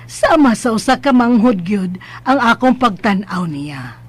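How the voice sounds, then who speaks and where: An actress playing a composed, fond middle-aged madam in a radio drama, with a Visayan Philippine accent, a husky voice and a measured, gently dismissive manner. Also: female voice, fairly high pitch, big pitch swings, exaggerated voice.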